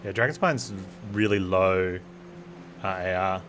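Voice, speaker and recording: Deep-voiced male game streamer chatting. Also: a little slow, fairly bright tone, faint music behind. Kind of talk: casual livestream chat.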